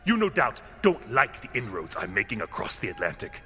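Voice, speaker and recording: Pompous radio villain, wildly swooping voice. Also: male voice, on the low side, wildly swooping pitch, fairly quick, sharp tone, slight background noise, heavily acted. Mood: smug gloating. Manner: pompous, taunting, theatrical. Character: smug gloating male villain posing as legitimate businessman. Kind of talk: radio drama acting. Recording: music under the voice.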